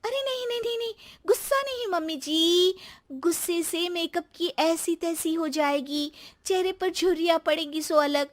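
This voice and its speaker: A woman's voice: very high-pitched, moderate pace, voice pushed up. A woman voicing a polite, anxious young daughter-in-law in a cartoon family story, with a pleading, respectful manner. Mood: soothing.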